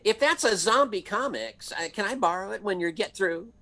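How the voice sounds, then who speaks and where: Man playing a stammering, blustering husband with swooping pitch. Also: expressive, normal speed, bright, forceful tone, heavily acted. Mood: boastful. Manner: stammering, flustered, folksy exclamations. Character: blustering, bumbling middle-aged husband, handyman and tall talker. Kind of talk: old-time radio comedy acting.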